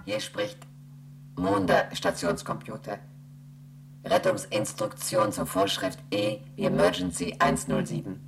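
An emotionless space station computer in a radio play, extremely low and creaky. It recites regulations in a formal, literal monotone, with faint noise behind it. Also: female voice, measured pace, exaggerated voice. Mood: impassive. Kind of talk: German radio play acting.

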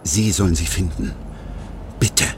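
A man's voice: deep voice, noisy background. A desperate surgeon father. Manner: terse, halting, pleading. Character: desperate, grey-faced male surgeon father.

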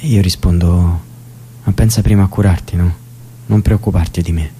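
A man acting a prison cellmate giving a calm, plain testimony in a radio drama. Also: low voice, normal speed, a little hiss or hum, close to an everyday voice. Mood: somber. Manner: plain testimony, recounting events calmly. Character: grieving male prison cellmate, young adult, earnest.

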